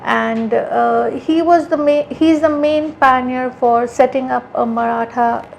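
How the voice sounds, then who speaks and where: A woman's voice: high-pitched, steady tone, a little slow, creaky, slight background noise. A woman from India, an art conservator guesting on a podcast, explaining her work earnestly and often restarting her sentences mid-thought. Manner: earnest, thinks aloud with frequent restarts. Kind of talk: casual interview conversation.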